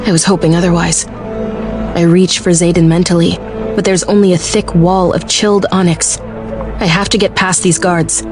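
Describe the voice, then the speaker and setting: An actress playing a determined, anxious young military cadet, narrating a fantasy audiobook drama in the first person. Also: female voice, lowish voice, little pitch movement, moderate pace, lightly acted. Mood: tense determination. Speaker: lead narrator. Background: music.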